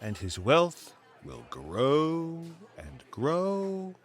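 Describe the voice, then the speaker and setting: An actor playing a sly, condescending schemer in a period audio drama, talking in a deliberate, manipulative way. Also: male voice, a little high, wildly swooping pitch, a little hiss or hum, exaggerated voice. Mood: manipulative, mock-resigned. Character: sly, scheming, condescending man plotting a rival's downfall. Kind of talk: dramatic audio fiction acting.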